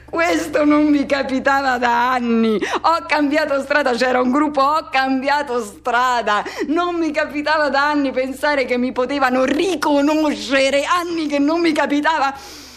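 Woman voicing a haunted lonely man, high-pitched. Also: a bit bright. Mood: brooding, uneasy. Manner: colloquial, introspective, halting repetitions. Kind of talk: radio drama monologue.

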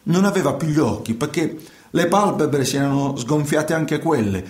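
An actor playing a troubled working fisherman in a colloquial, halting radio monologue, repeating himself. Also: a little high, expressive, a little mellow, reverberant, lightly acted. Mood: haunted. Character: troubled working fisherman recounting life at sea. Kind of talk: theatrical radio monologue in conversational storytelling.

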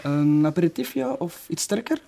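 An actor playing a courteous, suave Spanish college secretary in a crime drama, formal and sprinkling in Spanish phrases. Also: male voice, a little high, animated, normal speed, gravelly, warm tone. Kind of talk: Flemish crime drama acting.

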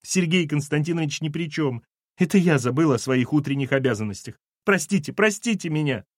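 A man's voice: medium pitch, sing-song, normal speed, darkish tone, husky, no room sound, clean studio sound. A man voicing a flustered older housekeeper woman, self-pitying, lamenting and breaking off in sobs, in an audiobook. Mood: apologetic. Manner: sobbing, lamenting, breaking off mid-sentence.

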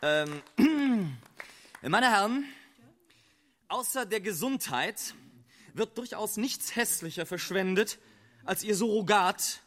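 An actor voicing a pompous mock preacher who addresses gentlemen in a halting, oratorical way in a radio play. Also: male voice, high voice, expressive, pitched above the performer's own voice, heavily acted.

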